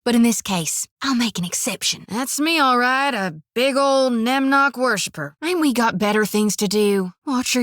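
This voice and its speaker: A woman's voice: on the high side, fairly bright tone, no echo. An actress playing a cheerful, devout young female priest who talks in a folksy drawl full of old-fashioned country slang. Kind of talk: video game voice lines. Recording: dry studio booth.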